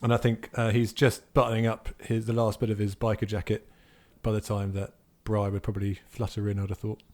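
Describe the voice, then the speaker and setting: A man's voice: fairly low pitch, monotone, brisk, a little mellow. A man improvising narration as a player in a roleplaying game, piecing details together aloud.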